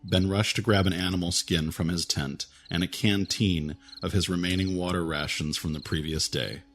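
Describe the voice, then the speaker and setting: A man narrating a fantasy audio drama with a steady, even storytelling manner. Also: sits low, moderate pace, slight background noise.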